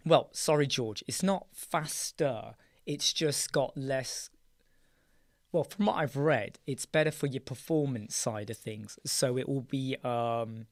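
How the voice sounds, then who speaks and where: British male host, hoarse voice, mid pitch, chatty live-stream delivery, very dry booth. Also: slow pace, no echo. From United Kingdom. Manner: chatty, greets viewers by name, trails off and restarts. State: hoarse, croaky, clearing his throat. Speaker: live chat show host. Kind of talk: casual live-stream chat with viewers. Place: small dark room with soundproof panels.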